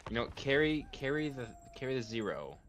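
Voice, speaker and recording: A twenty-two-year-old joining a casual gaming stream, teasing the others in a dry, deadpan way. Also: mid-range voice, brisk, a little hiss or hum.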